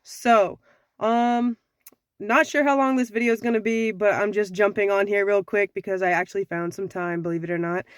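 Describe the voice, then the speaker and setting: A young woman with a slightly raspy voice from sinus trouble, giving frank dating advice in a casual talk to camera. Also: mid-range voice, steady tone, moderate pace, dry recording, studio quality. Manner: frank, conversational, sassy.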